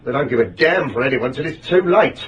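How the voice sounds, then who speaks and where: A man's voice: mid-range voice, expressive, brisk, a bit bright, far from the mic, highs cut off, lightly acted. An actor playing a self-important, grumbling English married man who rambles fussily in a radio drama. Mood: scornful, blustering. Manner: rambling, fussy, repeats himself. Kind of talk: character acting in radio drama.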